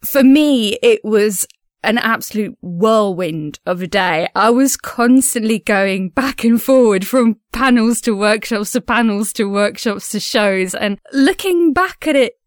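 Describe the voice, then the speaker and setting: A woman's voice: medium pitch, clean tone, no room sound, studio quality. A woman recording a casual personal audio diary as a podcast host, speaking in an earnest, enthusiastic and effusive way.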